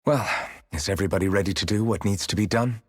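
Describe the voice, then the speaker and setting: A man voicing a steady, earnest hero in a video game, speaking in a measured, serious way. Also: lowish voice, dry recording, studio quality, soft background music, close to an everyday voice. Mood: serious, resolute. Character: steady, earnest young male hero leading a party. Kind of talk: scripted video game voice acting.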